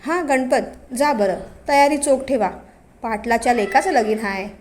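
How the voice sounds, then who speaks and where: Woman playing older village chief: loud, scheming, mocking, high. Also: moderate pace, slight background noise, lightly acted. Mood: commanding.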